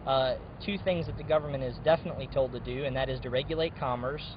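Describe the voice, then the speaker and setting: A man's voice: mid-range voice, fast. A man giving an earnest interview as a local resident, arguing his case. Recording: muffled, noisy outdoor street audio.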